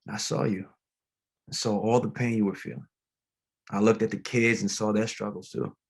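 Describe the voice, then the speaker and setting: An actor playing a gentle, grieving pastor in a dramatic table read, speaking plainly and haltingly. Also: male voice, lowish voice, steady tone, gravelly, breathy edge. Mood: earnest, compassionate. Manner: reflective, plain-spoken, halting. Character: grieving, gentle, reflective male pastor, widowed father.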